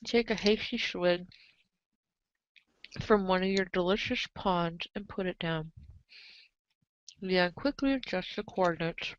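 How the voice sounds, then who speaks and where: A woman's voice: fairly low pitch, unhurried, pauses often. A woman reading scripted narration of a story in a readers' theater show. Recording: dull lo-fi microphone sound.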